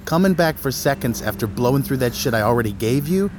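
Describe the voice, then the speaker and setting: A man acting as a street-wise drug dealer in an audio drama, heard amid clear outdoor noise. His mid-pitched voice moves around a lot. He is brusque, casual and needling. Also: lively intonation, fast, close to an everyday voice. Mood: brusque, teasing. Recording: outdoor ambience with crows, vehicles.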